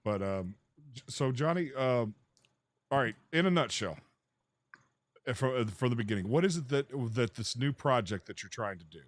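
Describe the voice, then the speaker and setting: A man's voice: medium pitch, lively intonation, moderate pace, no room sound, clean studio sound. A man hosting a casual interview show, talking in a laid-back way full of fillers. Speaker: show host.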